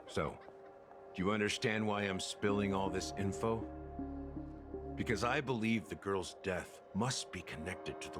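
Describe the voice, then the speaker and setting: A world-worn officer from a video game story, with a very low voice. He tells his tale slowly and ruefully, weighed down by guilt and mocking himself, over loud background music. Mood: resigned, brooding. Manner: slow, rueful, self-deprecating storyteller. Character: weary, world-worn male officer haunted by guilt and regret. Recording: music behind the voice.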